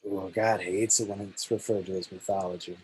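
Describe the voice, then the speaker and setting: A man playing an easygoing, cryptic prophet in an amateur screenplay table read, with a husky voice. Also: low-pitched, flat delivery, brisk, a little mellow, poor audio. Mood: laid-back.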